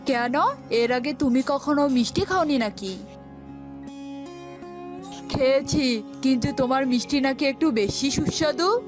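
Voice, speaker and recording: A woman's voice: high voice, a little slow, long pauses, music in the background. A woman voicing a proud, cunning eagle king in a cartoon, with a commanding, teasing manner. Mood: sly, coaxing.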